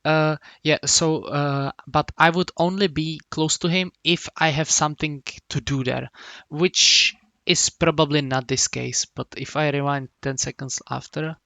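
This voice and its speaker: Gravelly-voiced man coaching. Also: a little high, steady tone, bright, forceful tone, no echo. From Czech Republic. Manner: halting, many restarts and hums while explaining. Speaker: game coach. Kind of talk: casual coaching talk.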